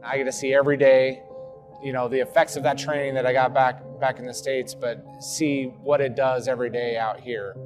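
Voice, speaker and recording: A man's voice: medium pitch, little pitch movement, a little fast. A man serving on a military drone crew, explaining his work in an interview. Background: plucked guitar music.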